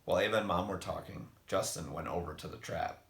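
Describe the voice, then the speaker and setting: A man reading a scripted spooky story for children in an expressive narrating style, with a gravelly voice. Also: low-pitched, quick pace. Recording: roomy, echoing voice.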